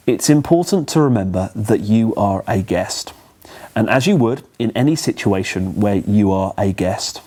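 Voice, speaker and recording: Measured man presenting training material. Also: on the low side, mellow. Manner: measured, instructive, clearly phrased. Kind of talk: scripted instructional narration.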